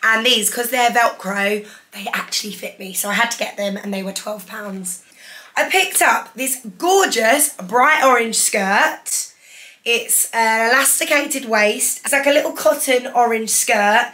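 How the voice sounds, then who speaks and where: Hoarse, bright-voiced young woman. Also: a little high, a little slow. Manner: chatty, enthusiastic, holds up each item. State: hoarse, losing her voice. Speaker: fashion vlogger. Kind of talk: casual haul vlog. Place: living room at home. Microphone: camera in front, seated.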